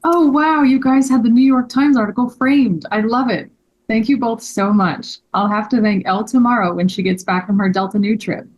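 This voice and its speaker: A woman's voice: on the high side, moderate pace, dull audio, natural voice. A woman playing an earnest, polite, grateful young male shop owner in a table read. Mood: grateful, pleased.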